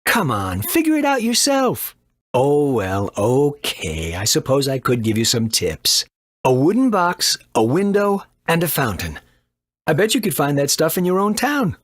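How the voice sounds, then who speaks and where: An actor playing an eccentric, boastful doctor and inventor in a video game, brusque and quick to scold. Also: male voice, on the high side, wildly swooping pitch, no room sound, studio quality, full character voice. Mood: scornful. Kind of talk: animated video game voice acting.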